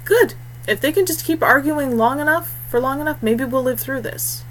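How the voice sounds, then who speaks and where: A woman's voice: high-pitched, moderate pace, a bit bright, slight background noise, voice pushed up. Woman as an earnest, stubborn young warrior. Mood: tired, uneasy. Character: loyal, stubborn young male warrior bard.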